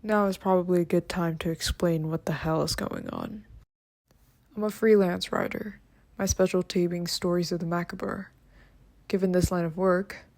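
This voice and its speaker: A woman voicing a male writer of macabre stories, narrating a scripted horror tale in a measured, subdued way. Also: fairly low pitch, gravelly, darkish tone, no room sound.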